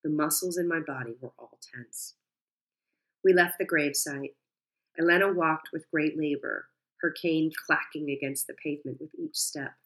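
A woman reads a first-person story in a calm, measured way. Her voice is low for a woman and steady. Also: poor audio. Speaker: narrator. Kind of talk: first-person audiobook narration.

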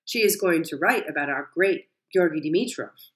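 A woman's voice: sits low, animated, normal speed. A woman reading as a frail elderly Bulgarian woman. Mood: subdued.

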